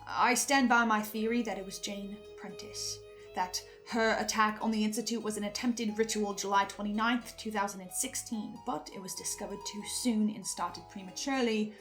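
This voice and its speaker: A woman hosting earnest theory commentary, speaking deliberately with dramatic pauses and stammered repeats. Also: mid-range voice, steady tone, a bit bright, soft background music. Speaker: host presenting theories on a horror podcast.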